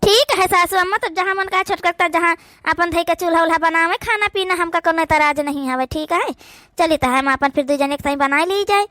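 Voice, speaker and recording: Very high-pitched Awadhi woman, dry sound. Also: brisk, pushed and bright, no echo. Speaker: cartoon voice performer. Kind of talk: acted rural family drama for a cartoon.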